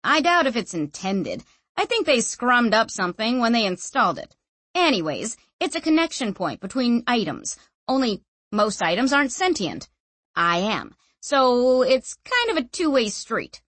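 A woman's voice: a little high, sing-song, moderate pace, a bit bright, no room sound, pitched above the performer's own voice. A woman voicing an ancient sentient object that talks through a young woman's body in a casual, slangy, breezy way. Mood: breezy, helpful. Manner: casual, slangy, matter-of-fact explaining.

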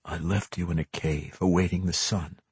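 A man voicing a stern, noble elf warrior in a fantasy story, speaking in a formal, measured and challenging way. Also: low-pitched, fairly even intonation, a little mellow, slightly rough, no echo. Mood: stern disappointment.

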